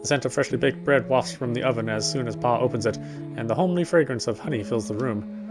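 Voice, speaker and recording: A man reading a horror game story aloud, with expressive, lingering narration. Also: medium pitch, fast, over music. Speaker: let's player reading a visual novel aloud.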